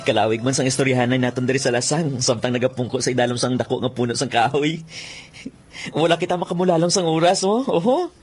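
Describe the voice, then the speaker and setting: A man's voice: medium pitch, fast, natural voice. Man acting a flustered, lovestruck young fellow. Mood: flustered, smitten. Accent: Filipino. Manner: stammering, breathless exclamations. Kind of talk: Philippine radio drama acting. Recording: faint music behind the voice.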